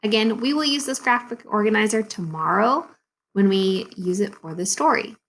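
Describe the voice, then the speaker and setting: A woman teacher reading a storybook aloud to second graders in an expressive, deliberate way, with dramatic pauses. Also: medium pitch, rough recording. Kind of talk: storybook read-aloud for young children.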